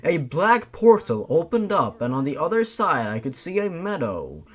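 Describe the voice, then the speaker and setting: A man's voice: fairly high pitch, big pitch swings, moderate pace. A man giving a scripted first-person narration of a fan-fiction style story.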